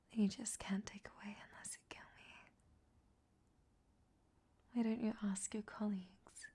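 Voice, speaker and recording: Casting: female performer for a sly, vain vampire temptress in audio roleplay; husky whispered voice, unhurried, coy and flirtatious. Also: medium pitch, mellow. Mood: coy, teasing. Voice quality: breathy, whispery. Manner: slow, teasing, coy.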